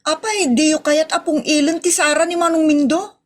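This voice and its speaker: A woman's voice: sits high, fairly even intonation, normal speed, close to an everyday voice. A woman playing an exasperated, complaining woman in an Ilocano comedy drama, with an Ilocano accent and an emotive, scolding delivery. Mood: pleading, indignant. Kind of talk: Ilocano comedy radio-style drama acting.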